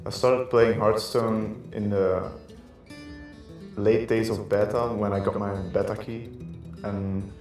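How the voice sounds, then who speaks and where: A man's voice: on the low side, flat delivery, takes it slowly, a little mellow. A man, an esports player, giving answers in a recorded interview. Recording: very echoey room, guitar music underneath. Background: plucked guitar music.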